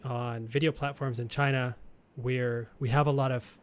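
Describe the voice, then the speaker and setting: A man's voice: medium pitch, level tone, moderate pace. A Canadian man co-hosting a marketing podcast, chatting casually and thinking aloud, with frequent restarts and chuckles. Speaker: podcast co-host.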